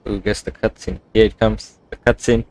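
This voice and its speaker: A man's voice: lowish voice, flat delivery, normal speed, darkish tone, slight background noise. A man streaming a game, giving casual live reaction commentary. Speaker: gaming streamer. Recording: game music behind.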